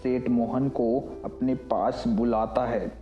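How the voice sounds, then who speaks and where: A man narrating a scripted animated moral story, also voicing the characters' lines. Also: medium pitch, takes it slowly, highs cut off. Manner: storytelling, also voices the worker. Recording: echoey mix over loud music.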